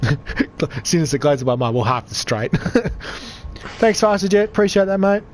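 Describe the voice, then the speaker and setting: A man commentating an online racing league, croaky from losing his voice, upbeat and chatty with viewers. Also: high voice, very animated, moderate pace, slight background noise. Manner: upbeat, chatty, reads out chat. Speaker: race commentator. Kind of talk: live sim racing commentary.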